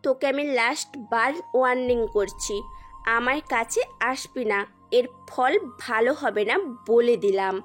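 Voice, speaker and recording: A woman's voice: on the high side, measured pace, soft background music, close to an everyday voice. A woman voicing a proud, defiant young woman in an audio story, haughty and warning.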